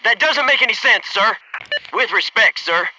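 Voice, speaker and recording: A man's voice: sits high, expressive, moderate pace, noisy background. A male actor playing a loyal, wisecracking special forces soldier in a military shooter game, speaking in clipped military phrases. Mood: businesslike.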